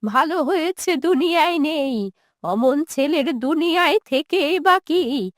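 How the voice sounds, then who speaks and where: A bitter old village mother played by a woman speaks in a high, slow voice. She is plaintive and reproachful, full of grief, in a cartoon moral tale with close, dry sound. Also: expressive, no echo. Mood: bitter, hurt. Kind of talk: cartoon moral-story dialogue.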